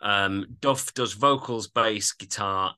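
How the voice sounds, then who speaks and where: A man's voice: on the low side, slow, pushed and bright. A man co-hosting a podcast, chatting casually and agreeably as he reviews an album.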